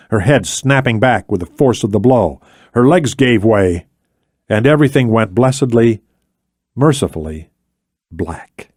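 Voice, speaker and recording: A man's voice: mid-range voice, darkish tone, no room sound. Man narrating a thriller audiobook, dry sound. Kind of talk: scripted thriller novel narration.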